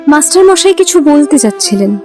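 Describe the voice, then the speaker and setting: A woman plays a determined young woman telling her own story. Her voice is high and heartfelt, in dramatic scripted narration. Music plays clearly behind her. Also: big pitch swings, brisk, mellow. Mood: reflective.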